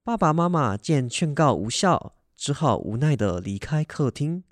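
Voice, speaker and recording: A man's voice: fairly high pitch, big pitch swings, measured pace, slight background noise. A man narrating a family radio drama in a scripted storytelling style.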